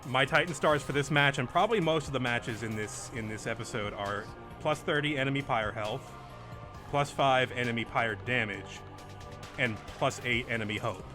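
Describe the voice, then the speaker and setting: A man's voice: mid-range voice, normal speed. Man casually commentating over game music. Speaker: video game player and commentator.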